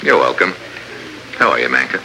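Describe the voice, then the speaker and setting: A hard-boiled American secret agent in a 1950s radio adventure. His voice sits very low with a hard, biting edge. He talks in wry, clipped wisecracks, over faint noise on an old recording. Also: big pitch swings, brisk, gravelly, pushed and bright, heavily acted, rough recording. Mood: wry, casual.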